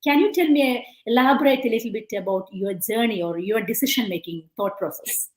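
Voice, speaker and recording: A woman's voice: fairly high pitch, a little fast, a little mellow. A woman hosting a live-stream interview over a video call, chatty and full of "like" and "you know". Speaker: host. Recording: roomy echo.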